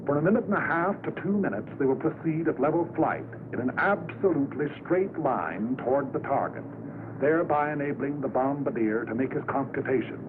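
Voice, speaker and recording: An American man narrates a 1940s wartime documentary in a solemn, literary manner. His pitch moves expressively through each measured phrase. The sound is a thin, narrow-band vintage film track with plenty of noise behind it. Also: expressive.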